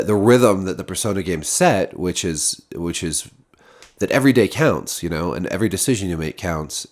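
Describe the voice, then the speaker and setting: A deep-voiced man talks loosely and off the cuff, circling back on his words as he thinks aloud. His voice sounds stuffy and nasal, as if he has a cold. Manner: rambling, many restarts and fillers. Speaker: video creator. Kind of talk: casual unscripted musing to camera.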